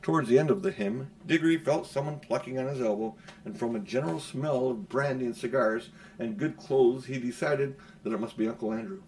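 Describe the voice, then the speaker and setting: A man's voice: medium pitch, a little fast, few pauses, darkish tone, rough recording. A middle-aged man reading a children's storybook aloud as an audiobook narrator. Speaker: audiobook reader. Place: small furnished room at home, indoors.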